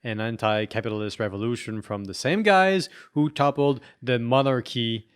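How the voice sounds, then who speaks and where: A man's voice: mid-range voice, clear, resonant tone. A man co-hosting a podcast, reacting and asking questions in casual conversation. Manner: engaged, interjecting, quick to react. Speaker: podcast co-host.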